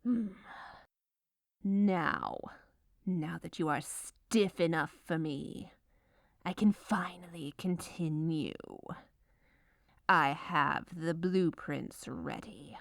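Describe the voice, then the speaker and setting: Slow, theatrically menacing woman in scripted ASMR. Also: deep voice, vocal fry, no room sound, studio quality. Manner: theatrical, menacing, gleeful villainous delivery of mundane baking steps. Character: gleefully menacing baker, melodramatic and secretly evil woman. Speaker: ASMR roleplay performer. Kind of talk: scripted ASMR comedic narration.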